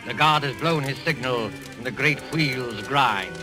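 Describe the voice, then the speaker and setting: A man's voice: mid-range voice, a little slow. A man delivering solemn, theatrical scripted narration in an old-time radio mystery style. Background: loud music.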